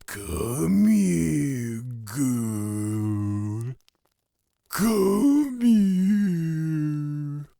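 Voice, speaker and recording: An actor playing a sinister god of fear in a fantasy audio drama, drawling with menacing calm and a gravelly, husky voice. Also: male voice, fairly high pitch, dry recording, clean studio sound, full character voice. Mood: doting, coaxing. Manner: slow, drawn-out, menacing calm.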